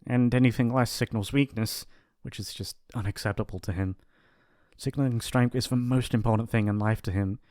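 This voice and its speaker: A man's voice: mid-range voice, steady tone, a little fast, darkish tone, no room sound, studio quality, lightly acted. A man playing a shy, apologetic young mafia boss's son in an intimate ASMR roleplay, with a hesitant, rambling, awkward delivery. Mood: reluctant, earnest.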